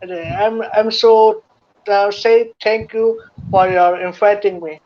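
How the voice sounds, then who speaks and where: A woman with an Indonesian accent speaks as a guest in an online interview. She stops and restarts often, filling her gaps with "um" and "you know," in a voice of ordinary pitch. The audio is muffled and lo-fi, like a video call. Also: fairly even intonation, a little slow. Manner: hesitant, many fillers and restarts.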